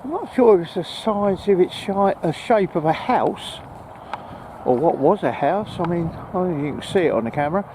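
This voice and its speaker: A man's voice: sits high, expressive, moderate pace. A man chatting casually and a little hesitantly to camera as he walks outdoors in a park. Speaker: vlogger. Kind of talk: casual chat to camera while walking. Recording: noisy outdoor recording with wind.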